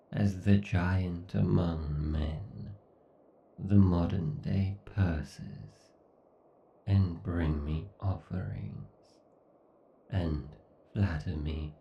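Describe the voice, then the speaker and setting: A man voicing an arrogant, obsessed, sadistic AI god in a horror roleplay, pompous and menacing, with theatrical pauses. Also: low voice, creaky, reverberant, far from the mic, highs cut off, heavily acted. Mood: arrogant, contemptuous. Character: obsessed, sadistic, arrogant godlike male AI villain. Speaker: voice actor. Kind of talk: horror ASMR roleplay monologue.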